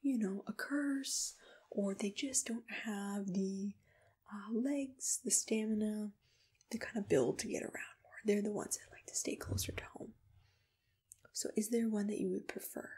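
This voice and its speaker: A woman softly whispering in a gentle, reassuring, patient way, asking questions as a matchmaker in an ASMR roleplay, close to the microphone. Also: medium pitch, measured pace. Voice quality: soft breathy whisper. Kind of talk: ASMR personal-attention roleplay, unscripted interview questions.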